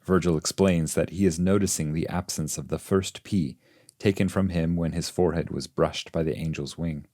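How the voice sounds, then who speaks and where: A man with a low-pitched voice narrates a literary audiobook of classic verse. His delivery is measured and solemn, and the recording is dry, with very little room sound. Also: steady tone, dark tone, dry recording. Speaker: translator and reader of a classic poem.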